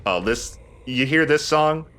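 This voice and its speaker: Bright-voiced man, lively game commentator. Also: a little high, measured pace. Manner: irreverent, profane. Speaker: let's play host. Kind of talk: casual joking game commentary.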